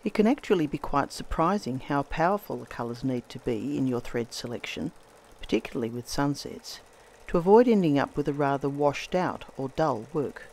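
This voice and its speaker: A woman narrates a scripted craft demonstration. Her voice is a little croaky from a recent bout of laryngitis. Her pitch moves with lively, singing rises and falls, and her delivery stays measured and gentle. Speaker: textile artist host.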